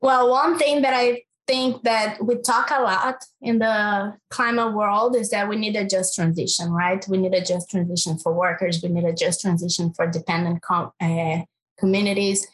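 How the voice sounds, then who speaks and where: A woman speaks with a Brazilian accent, laying out her research as an interview guest. Her voice sits at a middle pitch, and she talks earnestly, pausing on fillers and restarting phrases as she thinks. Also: flat delivery, poor audio. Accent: Brazilian Portuguese. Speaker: climate policy researcher. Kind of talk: interview answer.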